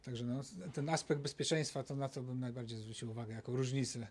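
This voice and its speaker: Male coach, 60s, even flat delivery, measured and plain-spoken. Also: mid-range voice. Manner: measured, matter-of-fact. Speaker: sailing coach. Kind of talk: press conference answer. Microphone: handheld microphone.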